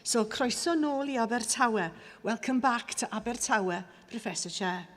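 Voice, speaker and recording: A woman with a Welsh accent delivers a measured ceremonial oration at a lectern, her voice sitting a little high. The sound carries through a large hall with a long, ringing reverb. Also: lively intonation. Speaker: university orator presenting an honorary graduate. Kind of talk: formal ceremonial speech, switching between English and Welsh.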